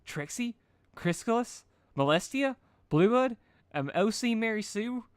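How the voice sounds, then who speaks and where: Guy putting on a worried hyper party pony girl, cartoony, pitched up some. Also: lively intonation, unhurried, clear, resonant tone, no room sound, studio quality. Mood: anxious.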